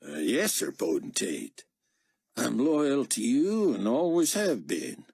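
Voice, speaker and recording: A man's voice: medium pitch, wildly swooping pitch, slow pace, gravelly, darkish tone, husky, no echo, studio quality, pitched above the performer's own voice, full character voice. A man playing a young, cowed security chief in an audiobook, plain-spoken and deferential. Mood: intimidated, submissive. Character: tall young cowboy-like security chief, eager, cowed underling.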